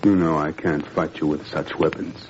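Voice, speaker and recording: An actor in a 1940s radio drama playing a weary, principled French former professor, earnest and wryly defiant. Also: male voice, rumbling low, little pitch movement, moderate pace. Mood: quietly defiant. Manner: earnest, wry, quietly defiant. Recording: dull, noisy 1940s radio recording.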